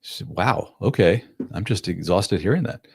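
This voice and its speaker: A man nearing fifty talks through viewer questions as a relationship coach, loose and conversational. His voice sits very low, and the sound is close and dry, with almost no room around it. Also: expressive, normal speed, vocal fry, darkish tone, breathy edge, no room sound. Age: 40s. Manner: casual, plain-spoken, acts out quotes in a raised voice. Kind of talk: live-stream Q&A.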